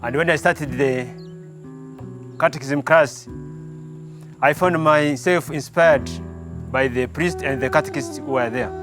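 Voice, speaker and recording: A young man from Tanzania, a seminarian recounting his calling in a slow, reflective way with pauses. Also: fairly high pitch. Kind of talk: personal vocation testimony. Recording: guitar music playing behind.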